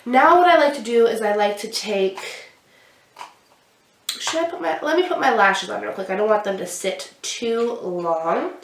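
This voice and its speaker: A woman's voice: fairly high pitch, expressive, moderate pace, a little hiss or hum. A young woman with a stuffy nose from a cold, chatting casually through a makeup tutorial while she applies products. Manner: chatty, explaining each step while applying makeup. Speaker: beauty vlogger. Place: small indoor room with a glittery backdrop. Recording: roomy sound, somewhat far from the mic.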